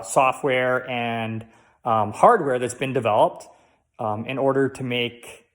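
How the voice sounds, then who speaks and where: A man hosting a casual do-it-yourself tutorial, explaining things step by step in a halting way. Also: medium pitch. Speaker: host of a DIY radio tutorial. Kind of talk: casual how-to demonstration.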